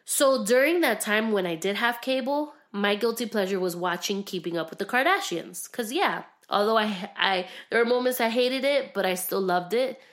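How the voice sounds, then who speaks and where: A woman's voice: medium pitch, expressive, moderate pace, hardly any pauses, a bit bright. A young adult woman hosting a podcast solo, talking casually in an effusive, earnest way. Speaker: podcast host.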